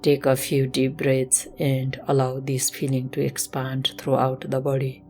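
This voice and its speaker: A woman leads a guided meditation in a very deep voice with an even, nearly flat melody. She gives gentle, measured instructions as a yoga teacher would, with faint music behind her.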